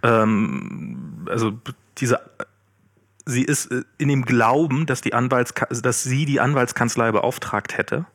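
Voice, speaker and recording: A man's voice: medium pitch, a bit bright, slightly rough, no room sound. Male podcast co-host, mid-range voice, dry recording, casual and searching for words. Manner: halting, searching for words with many restarts. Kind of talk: casual discussion.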